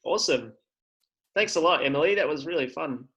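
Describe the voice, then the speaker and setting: A man hosts a children's livestream over a video call. His voice sits a bit high for a man. He sounds upbeat and encouraging, with plenty of ums along the way. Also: animated, fairly quick, a bit bright, highs cut off. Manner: upbeat, encouraging, with many fillers. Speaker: livestream host. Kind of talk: presenting to children.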